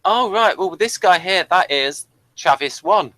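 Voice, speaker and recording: A man co-hosting a casual fan discussion of a TV series, speaking in a hesitant, halting way and often repeating himself. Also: high-pitched, lively intonation, pushed and bright, no room sound. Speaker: podcast co-host reviewing a TV series.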